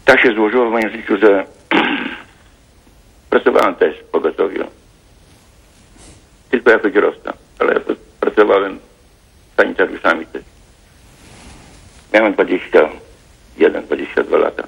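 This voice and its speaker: A man calls in to a TV talk show, speaking formally and hesitantly, with reflective pauses. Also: deep voice, vocal fry, fairly bright tone, breathy edge. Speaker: caller. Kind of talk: phone-in call to a TV talk show. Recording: dull phone line.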